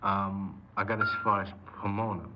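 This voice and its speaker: An actor playing a cold, remorseless, cocky killer and burglar in a 1950s radio crime drama, speaking in a clipped, matter-of-fact, commanding way. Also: male voice, on the low side, flat delivery, moderate pace, close to an everyday voice. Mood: detached, confessing. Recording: noisy, dull 1950s radio recording.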